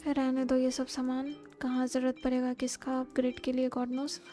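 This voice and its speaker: A woman's voice: high voice, monotone, very fast, warm tone. A woman with an Indian accent giving casual live game commentary as a streamer, switching between two languages. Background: game music.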